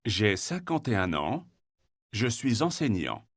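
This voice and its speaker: A man speaking a short, slow, carefully clear line on a language textbook recording. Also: fairly low pitch, big pitch swings, no room sound, clean studio sound, exaggerated voice.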